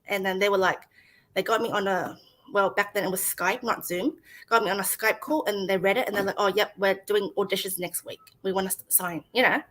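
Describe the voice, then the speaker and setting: A woman's voice: mid-range voice, fairly even intonation, fairly quick, fairly bright tone. Woman in her 30s, screenwriter, chatty, laughs a lot, acts out quotes, video call interview. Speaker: screenwriter guest. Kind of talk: casual interview chat.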